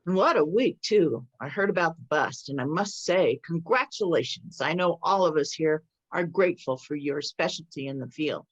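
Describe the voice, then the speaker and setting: Woman playing lowish, courteous police chief, muffled audio. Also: expressive, moderate pace, hardly any pauses, slightly rough. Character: courteous, humble police chief with measured authority. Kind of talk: table read of a screenplay. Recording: dull, lo-fi audio.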